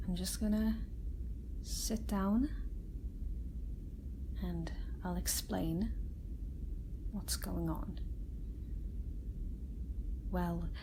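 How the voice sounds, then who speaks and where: A woman playing a kind, gentle scientist doctor in a soft-spoken ASMR roleplay, reassuring a frightened alien patient with careful, halting explanations. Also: on the low side, fairly even intonation, creaky, noisy background, exaggerated voice. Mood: calm, reassuring. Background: sci-fi room ambience.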